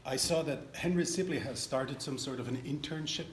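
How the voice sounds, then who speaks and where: A Swiss-born man stands at a town hall and asks his question in an earnest, measured way. He pauses often on small fillers. His voice sits in a middle register and carries a roomy echo, with a faint hum of noise behind it. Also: medium pitch. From Switzerland. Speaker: constituent asking a question. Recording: echoey room, faint background noise.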